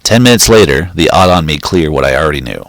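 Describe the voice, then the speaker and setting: A man reading a short story aloud in a steady, measured way, with the odd small slip. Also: extremely low pitch, dry recording. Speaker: reader.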